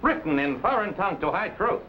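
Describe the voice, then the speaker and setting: A scheming villain, swooping high voice. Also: male voice, big pitch swings, fairly quick, a little hiss or hum. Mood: menacing. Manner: formal clipped phrasing with dropped articles. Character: scheming, falsely courteous male Chinese villain, coldly menacing. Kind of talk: 1950s adventure serial acting. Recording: muffled old serial soundtrack with music.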